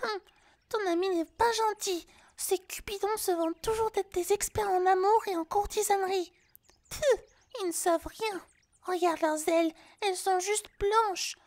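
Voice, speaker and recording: A harpy girl in an ASMR roleplay with an extremely high, childlike voice. She is vain, flighty and scatterbrained, wandering from one thought to the next. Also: steady tone, measured pace, darkish tone. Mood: huffy, scornful.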